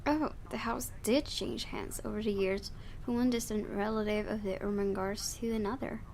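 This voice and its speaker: A woman reading a visual novel game aloud in an expressive storytelling style, with her own asides. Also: medium pitch, normal speed, slight background noise.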